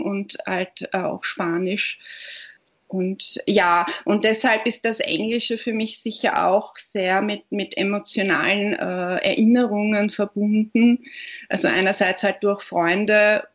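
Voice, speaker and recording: A woman with an Austrian accent, answering interview questions in a thoughtful, word-searching way. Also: mid-range voice. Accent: Austrian German. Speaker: podcast guest, literary platform founder. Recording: dull, muffled podcast recording.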